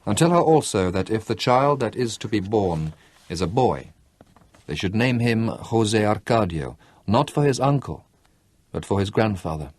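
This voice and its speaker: A man voicing a condemned young rebel commander facing execution, in a measured, composed way. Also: on the low side, sing-song, no room sound. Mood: calm, resigned.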